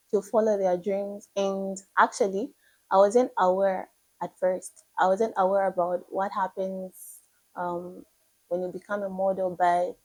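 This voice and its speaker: A woman's voice: medium pitch, a little mellow, rough recording. A nineteen-year-old woman with a Zambian accent, a pageant contestant who introduces herself eagerly and encourages her listeners. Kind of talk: prepared self-introduction to camera.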